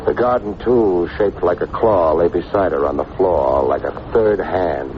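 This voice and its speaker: A man's voice: deep voice, measured pace. An American man playing a blunt, sardonic private detective in an old-time radio drama, tough-talking and wisecracking. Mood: grim narrating. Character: hardboiled, sardonic, pushy male private detective. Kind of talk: old-time radio detective acting with first-person narration. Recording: noisy, muffled vintage radio recording.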